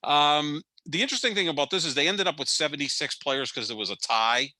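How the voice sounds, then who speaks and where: A man in his fifties argues his sports opinions in a loose, rambling way, often tripping over his own restarts. His voice is bright and forward, and the recording is dry and close, with no room sound. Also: a little high, expressive, brisk, no echo. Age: 50s. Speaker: podcast co-host. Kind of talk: casual sports debate.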